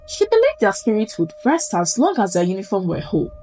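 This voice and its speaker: Animated Nigerian woman telling spooky folktales. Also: mid-range voice, a little fast. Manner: suspenseful, theatrical delivery. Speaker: storyteller. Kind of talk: dramatic folktale narration for a spooky story. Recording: music under the voice. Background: background music.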